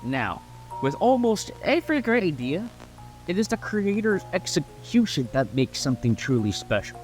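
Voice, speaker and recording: A man narrating a scripted video essay, explaining his points earnestly and emphatically with an occasional stumble. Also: fairly high pitch.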